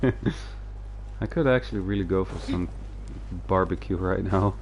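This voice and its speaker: A man's voice: sits low, unhurried, darkish tone, a little hiss or hum. A man casually commentating a game livestream and reading dialogue aloud, hesitant and rambling, with a dryly joking touch. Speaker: video game streamer. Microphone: close streaming microphone. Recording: game music behind the voice.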